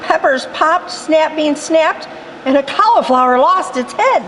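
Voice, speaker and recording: A woman voicing a gentle old grandfather telling a folksy tale. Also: high voice, animated, a little slow, a little hiss or hum, voice pushed up.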